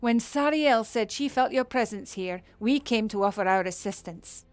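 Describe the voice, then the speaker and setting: A woman's voice: on the high side, moderate pace, smooth, no room sound, close to an everyday voice. A woman playing an earnest, dutiful warrior in a fantasy video game. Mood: grave briefing.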